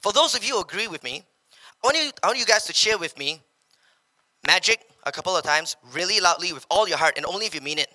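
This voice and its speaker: A young Malaysian man with a Malaysian English accent, giving an energetic motivational talk on stage in a large hall through a handheld mic. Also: high-pitched, fast talker. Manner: energetic, paces the stage, slips into local slang when quoting others. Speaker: keynote speaker. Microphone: handheld microphone.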